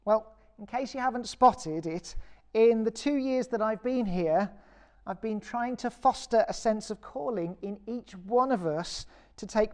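A British woman vicar giving a recorded sermon in a measured, earnest and encouraging way. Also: mid-range voice, darkish tone. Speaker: parish vicar preaching.

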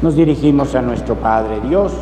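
A middle-aged man, a priest praying the Mass in a measured, solemn liturgical delivery. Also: mid-range voice. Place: large church, at the altar. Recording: echoey basilica, long reverb, loud noise.

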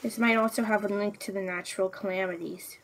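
A woman voicing a small, earnest, excitable young water creature in an expressive way for a game story. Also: mid-range voice, brisk, slight background noise, close to an everyday voice, poor audio. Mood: awed. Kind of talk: dramatic fan voice acting for a game story.

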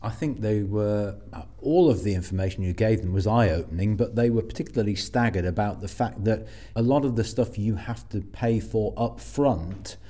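Mellow low-voiced male podcast host. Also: moderate pace, few pauses. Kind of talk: conversational interview.

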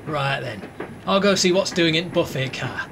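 A man's voice: fairly high pitch, expressive, moderate pace. Breezy, cheeky young fan played by a man. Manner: casual, short replies. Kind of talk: radio play dialogue. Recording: train noise over voice.

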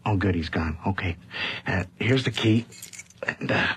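An actor playing a brash, reckless, joking buddy in a flippant, breezy, naturalistic radio drama style. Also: male voice, deep voice, normal speed, a bit bright. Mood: furtive, hurried. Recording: noisy 1980s radio drama recording.

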